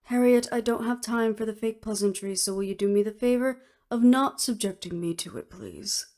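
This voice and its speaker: A woman's voice: a little high, normal speed, a little mellow, natural voice. A woman acting in an audio roleplay as an earnest, weary official who speaks formally and seriously. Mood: firm, pleading.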